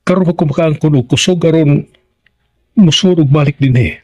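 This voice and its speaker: Actor as grieving, confiding Visayan widower. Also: male voice, fairly high pitch, darkish tone, close to an everyday voice. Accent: Visayan Philippine. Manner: halting, sentimental, confiding. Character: grieving widowed master of the house, a father, gentle and earnest. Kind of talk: Filipino radio drama acting.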